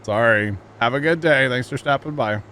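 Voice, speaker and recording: A man's voice: mid-range voice, normal speed, pushed and bright, a little hiss or hum. A man in his mid-thirties from Missouri, chatting casually and teasing friends while streaming a farming game. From Missouri, USA. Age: 30s. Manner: joking, teasing, chatty with lots of fillers. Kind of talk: casual livestream chat while gaming.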